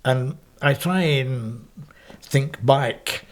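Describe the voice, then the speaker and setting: A man's voice: mid-range voice, drawn-out words. A man in his seventies with a Scottish accent, recalling his school days in a reflective, wry interview, often correcting himself mid-sentence. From Scotland. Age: 70s. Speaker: interviewee, professor. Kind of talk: oral history interview, reminiscing. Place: parish house room, indoors.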